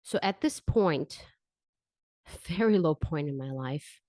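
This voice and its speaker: Low-voiced woman telling a personal story. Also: animated, slow pace, a little mellow, no room sound, clean studio sound. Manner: candid, reflective, emphatic repetition. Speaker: podcast host and vocal coach. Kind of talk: personal storytelling podcast monologue.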